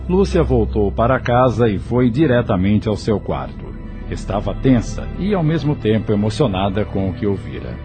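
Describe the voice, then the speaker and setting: A man's voice: on the low side, sing-song, normal speed, music in the background. A man with a Brazilian accent reading scripted narration for an audio drama.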